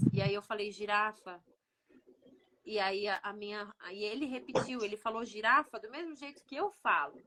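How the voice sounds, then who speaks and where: A woman's voice: medium pitch, fairly bright tone, low-quality recording. A Brazilian woman with a Brazilian Portuguese accent, chatting casually and telling stories. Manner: chatty storytelling, laughs easily. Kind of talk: casual chat.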